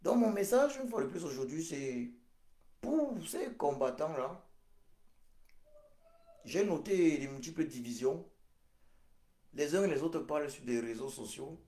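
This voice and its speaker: Slow, emphatic man, roomy echo. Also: a little high, expressive, warm tone. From Cameroon. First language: Ghomala' (Baham). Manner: emphatic, deliberate, repeats phrases with frequent hesitations. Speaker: activist leader. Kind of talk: address to supporters.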